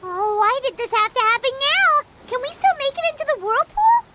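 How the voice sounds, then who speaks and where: A woman's voice: sing-song, fairly quick, pushed and bright, a little hiss or hum, exaggerated voice. A woman voicing a tiny, chirpy female fairy companion who talks of herself in the third person, over game music. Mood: anxious. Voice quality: very high, squeaky, childlike. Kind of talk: video game voice acting.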